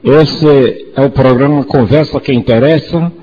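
A man's voice: mid-range voice, unhurried. A 66-year-old Brazilian man presenting a community radio talk show. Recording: dull lo-fi audio, faint noise.

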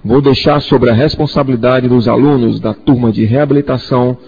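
A man's voice: medium pitch, steady tone, fairly quick, a little mellow, slightly rough. A Brazilian man introducing a radio show as its announcer. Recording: dull lo-fi audio.

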